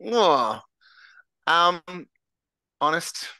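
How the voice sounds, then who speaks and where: Candid Australian man, bright tense voice. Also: fairly high pitch, big pitch swings, extremely slow, no echo. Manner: earnest, candid, self-described larrikin. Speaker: singer-songwriter guest. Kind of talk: casual interview chat.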